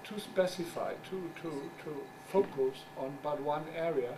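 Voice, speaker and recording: A middle-aged man whose first language is German gives a conference talk as a journal editor. He speaks English haltingly, searches for words, and makes wry asides. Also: on the high side. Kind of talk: conference presentation. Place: conference room. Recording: distant mic with background noise.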